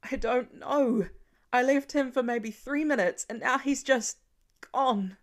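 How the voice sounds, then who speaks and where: A woman's voice: sits high, slow pace, pitched above the performer's own voice. A woman voicing a protective middle-aged father with a wry, urgent manner, in a story reading. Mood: frantic. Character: protective middle-aged father, gruff then frantic with worry.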